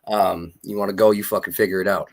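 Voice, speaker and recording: A man from Maine in his thirties, speaking as a guest in a casual interview. He rambles in a laid-back way and swears freely. His voice sits low. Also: flat delivery, fast talker. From Maine, USA.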